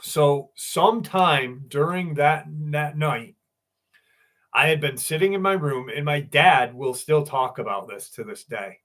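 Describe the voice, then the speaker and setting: A man's voice: a little high, lively intonation, unhurried, a bit bright. A man hosting a live stream, telling stories in a loose, profane way and greeting viewers by name. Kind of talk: casual live stream chat and storytelling.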